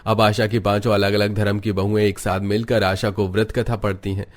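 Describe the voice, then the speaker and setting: A man's voice: fairly low pitch, flat delivery, a little fast. A man reading scripted narration for a cartoon family story as its narrator.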